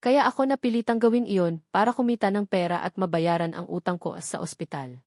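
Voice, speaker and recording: A woman's voice: mid-range voice, a little fast, a little mellow, no room sound, studio quality, lightly acted. A woman with a Filipino accent voicing a devoted, anxious young mother in a narrated story.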